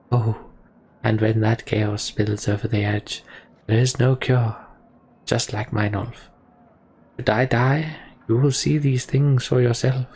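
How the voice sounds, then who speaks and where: A man voicing a scheming, menacing imprisoned manipulator in a video game, deliberate and taunting as he makes his speeches. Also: medium pitch, vocal fry, a little mellow, a little hiss or hum. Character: scheming, menacing male prisoner and political manipulator. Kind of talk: dramatic reading of RPG dialogue.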